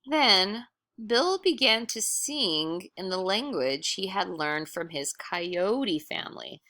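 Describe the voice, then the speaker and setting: Female read-aloud storyteller, slightly low and bright, slow-paced, playful and chatty with listeners. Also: dry recording, clean studio sound. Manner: playful, amused, animated, addresses listeners directly. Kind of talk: picture-book read-aloud with casual asides.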